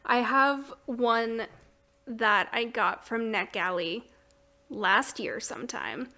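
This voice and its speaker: A woman's voice: on the high side, expressive, slow pace, bright, forceful tone. A woman hosting a podcast in a casual book chat, talking informally and chattily and laughing readily. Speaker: podcast host.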